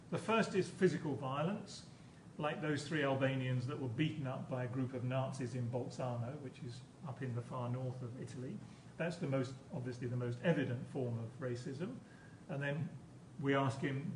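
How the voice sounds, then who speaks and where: A man giving an academic conference lecture as a professor, explaining hesitantly and often repeating his words. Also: medium pitch. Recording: echoey room, distant mic, background noise.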